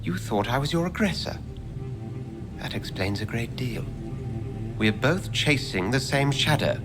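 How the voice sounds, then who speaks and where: A man plays a composed doctor in a game cutscene, formal and measured, keeping his secrets. His mid-pitched voice sits over clear background music. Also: lively intonation, lightly acted. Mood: cautioning. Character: urbane, unflappable male doctor, secretive vampire investigator. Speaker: voice actor in a video game. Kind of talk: scripted game cutscene dialogue. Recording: music under the voice.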